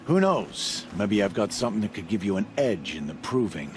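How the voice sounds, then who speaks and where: An actor playing a grumbling, cagey outlaw trader in a video game, with a sarcastic, begrudging manner. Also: male voice, lowish voice, big pitch swings, fairly quick, background noise. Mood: businesslike. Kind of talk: video game dialogue acting.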